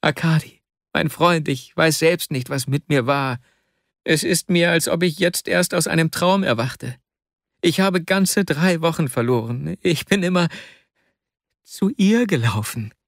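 A man's voice: fairly high pitch, unhurried, vocal fry, no echo, studio quality, voice pushed up. A man reading a story, voicing a tearful, pleading young male clerk in a raised, anguished voice. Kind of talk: audiobook character voice.

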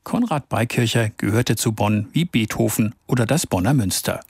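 Guy narrating a news feature, gravelly, dry close sound. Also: on the low side, lively intonation, moderate pace, a little mellow, dry recording. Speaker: reporter.

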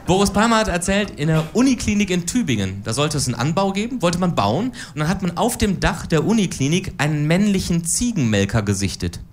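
A woman's voice: fairly low pitch, very animated, brisk, few pauses, slight background noise. A woman in her forties performing stand-up political cabaret on stage, with a dry, ironic delivery. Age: 40s. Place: stage before a live audience.

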